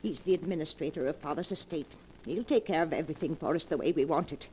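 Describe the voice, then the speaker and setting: A woman's voice: low voice, quick pace, warm tone, lightly acted. An actress playing a suspicious, decisive elderly woman in emphatic 1940s radio mystery style. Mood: suspicious, uneasy. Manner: emphatic, melodramatic delivery. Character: suspicious, decisive elderly sister fearing for her life. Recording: noisy 1940s radio broadcast recording.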